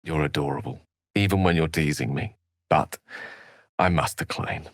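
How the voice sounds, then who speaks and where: A man's voice: extremely low pitch, normal speed, no echo, clean studio sound. An actor playing an erudite, witty and self-assured wizard in a video game, eloquent and theatrical in his wordy delivery. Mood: wry, teasing. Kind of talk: video game character acting.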